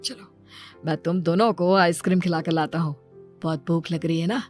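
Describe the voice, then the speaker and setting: A woman's voice: lowish voice, moderate pace, a little mellow, faint music behind, lightly acted. A woman voicing an earnest, protective young father in a cartoon story. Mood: tender.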